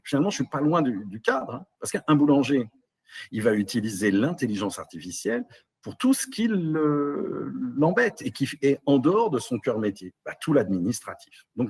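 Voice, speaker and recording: A man's voice: fairly low pitch, darkish tone, slightly rough. A Belgian-born man who works as an AI trainer, giving a webinar presentation over a video call with a lot of hesitations and restarts. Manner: hesitant, many fillers and restarts.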